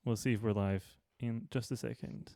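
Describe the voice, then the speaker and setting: A man's voice: mid-range voice, steady tone, moderate pace, creaky, mellow. A man hosting a casual live-stream interview, chatty and informal with offhand swearing. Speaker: host.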